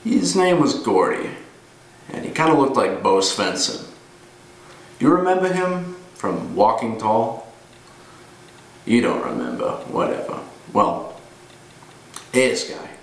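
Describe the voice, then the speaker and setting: Man acting a grim older ex-cop, slow and roomy. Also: mid-range voice, wildly swooping pitch, creaky, heavily acted. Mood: grim reminiscing. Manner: deliberate storytelling with pauses. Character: gruff weary older male ex-cop fixer, grimly menacing. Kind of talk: dramatic monologue performance. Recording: roomy, distant mic with noise.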